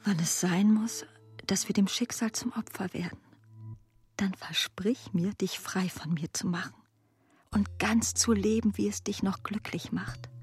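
A woman giving a literary reading of intimate letters in a soft, breathy, often near-whispered manner. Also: medium pitch, fairly even intonation, unhurried.

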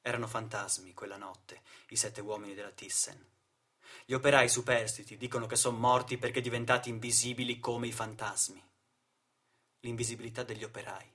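A man's voice: medium pitch, bright, forceful tone. Dramatic male stage narrator. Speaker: actor-narrator. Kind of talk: theatrical monologue, political storytelling.

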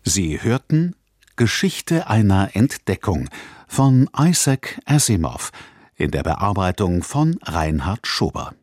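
A man reading scripted radio credits as an announcer, in a formal, measured manner. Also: low-pitched, sing-song, a little mellow, dry recording, clean studio sound.

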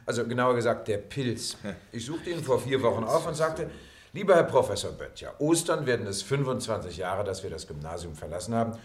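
An actor playing a genial middle-aged reunion organiser in a radio play, a playful and courteous storyteller. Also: male voice, mid-range voice, expressive, brisk, close to an everyday voice. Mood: genial, storytelling.

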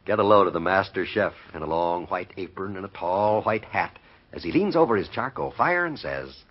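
Old timey radio announcer guy, husky, really lively intonation, muffled lo-fi recording. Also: low-pitched, moderate pace. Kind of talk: 1950s radio announcing, scripted.

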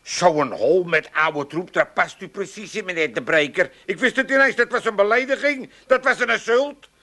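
A blustering old Amsterdam junk dealer. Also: male voice, high voice, lively intonation, normal speed, sharp tone. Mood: pompous. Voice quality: blustering, theatrical. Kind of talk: radio play acting.

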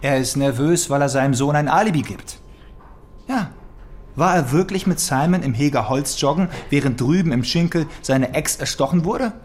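An actor playing a cheeky, pedantic younger police detective in a German radio crime drama, probing and sardonic. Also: male voice, fairly high pitch, normal speed, natural voice. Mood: needling, probing. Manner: probing, sardonic, with small interjections.

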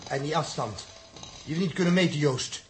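A man's voice: fairly high pitch, moderate pace, faint music behind, natural voice. A man acting an uneasy, watchful spaceship crewman in a 1960s radio drama. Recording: noisy old 1960s radio recording.

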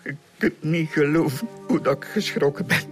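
A man acting an old Flemish murder suspect in a radio play. His voice sits fairly high, flustered and stammering, with faint music underneath. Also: normal speed, close to an everyday voice. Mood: shaken. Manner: stammering, repeating words.

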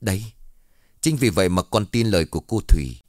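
A man voicing a calm, fair-minded older village man who mediates a dispute. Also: sits low, no echo, studio quality. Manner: calm, measured, reassuring.

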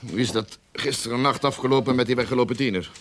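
An actor in a radio play playing a blustering, money-grubbing, lying detective agency boss with a sarcastic, exasperated manner. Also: male voice, medium pitch, fast talker, a bit bright, a little hiss or hum, close to an everyday voice. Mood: bossy, exasperated. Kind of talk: radio drama acting.

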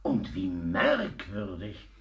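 An actor playing an eccentric, talkative servant in a German radio play, effusive and deferential in a theatrical way. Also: male voice, low-pitched, wildly swooping pitch, slow, heavily acted. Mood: effusive, dramatic.

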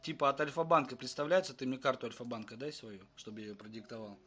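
A man hosting a live stream, talking briskly and addressing his viewers directly as he urges them to sponsor the channel. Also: mid-range voice, a bit bright, husky. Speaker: streamer and host. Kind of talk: casual live-stream chat.

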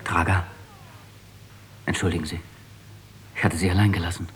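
An actor playing a troubled, earnest young bookworm turned would-be assassin in a German radio drama. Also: male voice, sits low, little pitch movement, normal speed, crowd noise, lightly acted. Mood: agitated. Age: young adult.

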